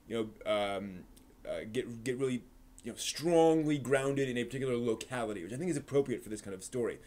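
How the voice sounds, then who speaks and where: A man's voice: mid-range voice, a little mellow. A man hosting a live stream, giving a casual, off-the-cuff review and often rephrasing himself as he explains.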